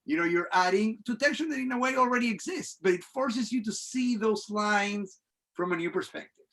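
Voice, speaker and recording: High-voiced man. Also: lively intonation, fairly bright tone. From Venezuela. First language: Spanish. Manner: halting, many restarts and fillers. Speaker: playwright and director. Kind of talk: panel discussion.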